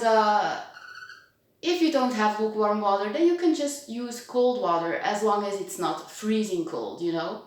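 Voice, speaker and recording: A woman in her thirties from Belgium, chatting casually through a home tutorial vlog, bubbly and talking to her pet owl and viewers. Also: mid-range voice. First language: Dutch. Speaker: owl-keeping vlogger. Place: home kitchen, indoors. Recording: very echoey room.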